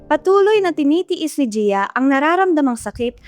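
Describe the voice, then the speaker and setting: A woman's voice: high voice, sing-song, normal speed, darkish tone, soft background music. A woman with a Filipino accent playing the narrator, reading scripted storytelling for an amateur radio drama. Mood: narrating.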